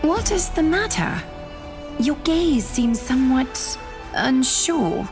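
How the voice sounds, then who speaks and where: An actress playing a poised, solemn noble weaver and leader in a fantasy game, with a composed, elegant and grave manner. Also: female voice, high-pitched, very animated, slow pace, music in the background, heavily acted. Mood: softly probing. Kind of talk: scripted fantasy game dialogue.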